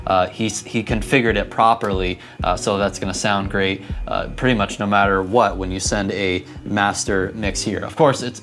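Low-voiced man explaining things. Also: fairly bright tone. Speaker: church audio instructor. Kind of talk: explanatory tutorial talk to camera. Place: indoors, equipment room and church hall. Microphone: clip-on lapel mic.

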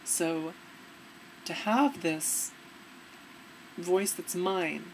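A young woman talks into her webcam in a dim room, her voice a touch low and noticeably gravelly. She gives earnest, relaxed advice in a casual vlog manner, with faint hiss in the background. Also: very slow, rough recording. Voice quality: fairly deep, gravelly. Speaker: vlogger.

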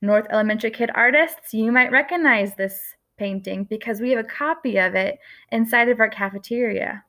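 Woman art teacher, 30s, slightly high voice with gravelly fry, gentle storytelling for small kids, close webcam. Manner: gentle, expressive storytelling with slow emphatic words. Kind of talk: lesson for young children.

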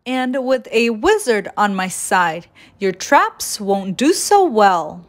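A woman's voice: mid-range voice, sing-song, takes it slowly. A woman voicing a cheeky, boastful little gingerbread man in a children's storybook, playful and taunting in sing-song rhyme. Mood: teasing. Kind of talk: children's storybook read-aloud.

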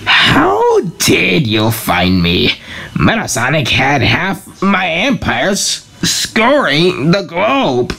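A woman voicing a pompous, gloating male mad scientist with a creaky voice in an amateur comic dub. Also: deep voice, huge pitch swings, drawn-out words, a bit bright, exaggerated voice. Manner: pompous, commanding, taunting.

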